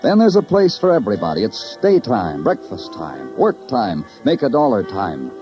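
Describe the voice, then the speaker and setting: An actor playing a world-weary, sardonic police detective in a 1950s radio crime drama, with terse, poetic narration. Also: male voice, on the low side, wildly swooping pitch, moderate pace. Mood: brooding. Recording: dull old radio recording, music behind.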